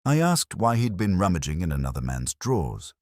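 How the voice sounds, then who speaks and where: Man acting a brooding detective inspector, extremely low voice, expressive pitch movement, erudite, measured literary phrasing, audio mystery narration. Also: dry recording, studio quality. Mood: wry, somber. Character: world-weary, erudite male police detective inspector narrating a case. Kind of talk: scripted first-person mystery narration.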